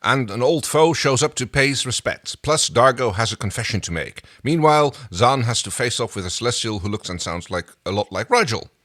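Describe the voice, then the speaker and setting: A man's voice: medium pitch, animated, a little fast, few pauses, pushed and bright. A man co-hosting a podcast, giving a casual, reflective and explanatory review of a television episode. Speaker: podcast co-host.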